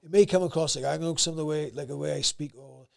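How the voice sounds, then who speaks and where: Gruff, sweary Welsh valleys man. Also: fairly high pitch, quick pace, a little mellow. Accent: South Wales valleys. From Wales. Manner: blunt, sweary, straight-talking. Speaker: podcast guest. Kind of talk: casual interview chat.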